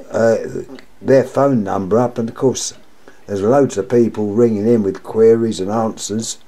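An older man with a sore, croaky throat rambles through a casual show-and-tell of his lamps, doubling back on his own words. His voice is dark and mellow. He talks at home, into a camera mic, while he stays off screen. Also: medium pitch. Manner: rambling, repeats himself, wry complaints. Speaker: lamp collector vlogger. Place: indoors at home, table of lamps.

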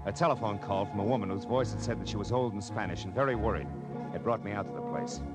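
A man's voice: fairly low pitch, fast, lightly acted. An American man acting a wry, persistent hardboiled private detective in a radio drama, plain-spoken and clipped. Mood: wry, narrating. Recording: vintage radio drama, music behind.

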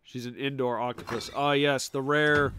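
A man's voice: medium pitch, fairly even intonation, moderate pace, pushed and bright, slight background noise. A man casually commenting as he plays a game on a stream, jokey and talking to himself, a bit sniffly from allergies. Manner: chatty, jokey, talks to himself and game characters. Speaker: gaming streamer.

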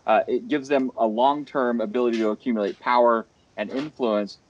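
A man's voice: medium pitch, steady tone, fairly quick. A man on a headset at home moderates a video call panel. He rambles through long questions full of restarts and fillers. The call audio sounds dull and muffled. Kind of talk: casual panel discussion. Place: home room. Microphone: headset over video call.

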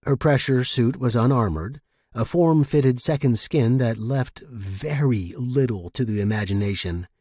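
A man reading first-person fiction as a narrator, in an expressive, dramatic manner. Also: medium pitch, unhurried, breathy edge, dry recording, clean studio sound.

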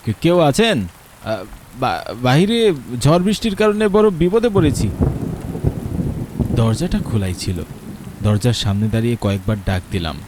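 A man's voice: medium pitch, big pitch swings, moderate pace, pauses often, a little hiss or hum. A man narrating a horror cartoon story in the first person, tense and dramatic, with nervous stammers. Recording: loud rain and thunder behind.

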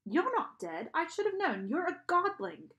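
A woman voicing a smooth, haughty male guard of the underworld in an audiobook, suave, condescending and theatrical, with a British accent. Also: very high voice, big pitch swings, moderate pace, fairly bright tone, lo-fi sound, pitched above the performer's own voice, full character voice. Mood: cold, menacing.

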